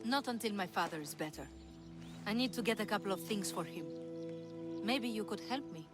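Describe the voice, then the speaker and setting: A woman's voice: medium pitch, animated, a little fast, natural voice. An actress playing a bold, self-assured young woman who yearns for heroic glory, in video game cutscene dialogue over music. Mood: earnest, burdened.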